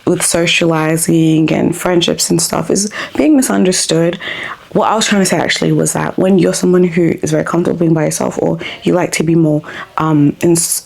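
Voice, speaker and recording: A woman's voice: lowish voice, gravelly, darkish tone. A woman, born in Africa, talking through her thoughts in a reflective personal vlog with frequent ums and likes. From born in Africa, grew up in Australia. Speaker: vlogger.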